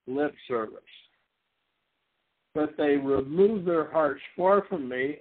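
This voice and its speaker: A man from Missouri teaching the Bible in a sermon style, folksy and rambling, with frequent restarts and fillers. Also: fairly high pitch. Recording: husky voice over a tinny phone-like line.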